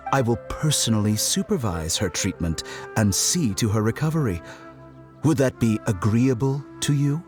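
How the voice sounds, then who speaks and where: A man's voice: medium pitch, close to an everyday voice. An actor playing an arrogant male doctor and scholar in a video game, mock-polite and condescending, drawing out his words for menace. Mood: haughty. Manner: theatrical, mock-polite, drawn-out emphasis. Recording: music behind the voice.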